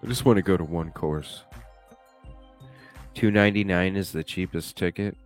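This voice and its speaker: Male streamer with a very deep, stuffy voice, chatty and loose, faint music under him. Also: steady tone, moderate pace. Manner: chatty, thinks aloud, swears casually. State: stuffy nose. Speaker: live streamer. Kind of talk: casual chat reacting to a video. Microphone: desk microphone with webcam.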